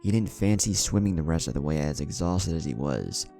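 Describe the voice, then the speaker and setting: A man's voice: rumbling low, expressive, a little fast, vocal fry, dark tone. A man reading an adventure story as a dramatic scripted narration. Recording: background music under the voice. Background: music.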